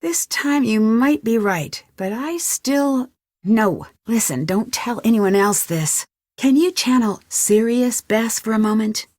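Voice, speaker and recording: A woman's voice: fairly high pitch, lively intonation, slow, darkish tone, no echo, studio quality. An actress playing a curious, level-headed young female detective, chatting earnestly and a little wryly in a video game. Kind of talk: conversational game dialogue, one side of phone calls.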